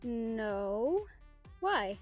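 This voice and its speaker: A woman's voice: on the high side, very animated, extremely slow, soft background music, full character voice. A woman playing a mischievous young partygoer with morbid humour in an audio drama. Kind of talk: naturalistic audio drama acting.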